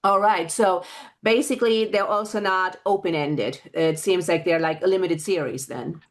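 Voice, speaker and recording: A woman co-hosting a casual interview, speaking in a measured, clarifying manner. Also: on the low side. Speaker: interview co-host.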